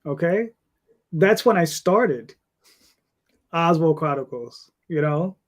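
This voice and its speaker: Man, fifties, slightly high voice, comic creator, casual interview guest, rambling delivery. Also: lively intonation, slow, dull audio. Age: 50s.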